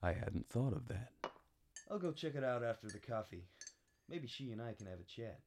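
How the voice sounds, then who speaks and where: A man playing a world-weary, wry private detective, deadpan, narrating a noir radio drama. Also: medium pitch, moderate pace, heavy background noise, natural voice. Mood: curt, questioning. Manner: deadpan, hardboiled. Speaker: narrator and lead actor.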